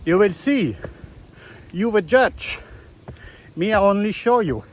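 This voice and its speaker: A man from Belgium with a Belgian accent, talking to camera in a casual travel vlog, eager and chatty in halting non-native English, outdoors on rural hills. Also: sits high, lively intonation, a little hiss or hum. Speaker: travel vlogger.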